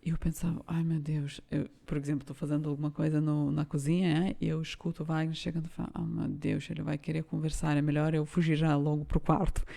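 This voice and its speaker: A woman's voice: deep voice, level tone, fast talker, vocal fry, hardly any pauses, mellow. A Lithuanian-born woman, co-hosting a podcast and telling stories in a casual, hesitant way as she searches for words. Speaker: podcast co-host. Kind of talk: casual conversational storytelling.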